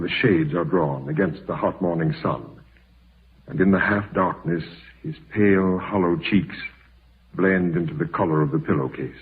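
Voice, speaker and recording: Deep theatrical male radio narrator. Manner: theatrical, solemn, formal. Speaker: host and narrator. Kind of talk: old-time radio horror narration. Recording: muffled, narrow-band vintage radio recording.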